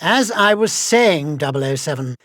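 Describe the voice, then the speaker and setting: High-voiced, precise British quartermaster. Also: male voice, expressive, normal speed, a bit bright, no room sound, studio quality. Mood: proud, formal. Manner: formal, precise, wry. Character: dry, proud male spy gadget quartermaster briefing an agent. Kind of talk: scripted mission briefing in a video game.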